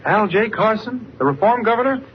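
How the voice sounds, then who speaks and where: An actor playing a mild, eager young news reporter, polite and deferential, in a noisy 1940s radio drama. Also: male voice, high voice, animated, fairly quick. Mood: curious, deferential. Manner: polite, unassuming. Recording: noisy, dull vintage radio recording.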